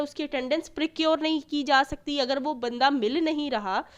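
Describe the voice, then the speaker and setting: A woman with a Pakistani accent giving an explanatory law lecture, didactic, repeating key phrases and checking that listeners follow. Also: sits high.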